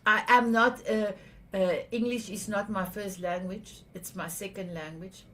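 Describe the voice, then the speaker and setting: Earnest South African woman, halting. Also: mid-range voice, fairly even intonation, a bit bright, a little hiss or hum. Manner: earnest, halting with frequent restarts. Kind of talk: conversational interview.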